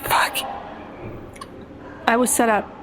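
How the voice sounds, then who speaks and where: A woman voicing a weary, raw, sardonic repeat offender in jail, halting in an improvised tabletop roleplay. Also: in a whisper, close to an everyday voice. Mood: defensive. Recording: faint background noise.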